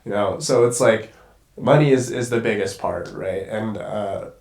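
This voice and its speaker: A man's voice: fairly low pitch, measured pace, a little mellow, reverberant. A congested young man talking as a guest cinematographer in a casual conversation, rambling and swearing casually. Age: young adult.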